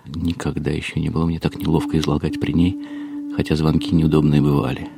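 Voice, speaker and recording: A man's voice: rumbling low, level tone, brisk, creaky. An actor playing a weary, ironic husband who narrates his troubles in a literary audio play with wry, bitter resignation.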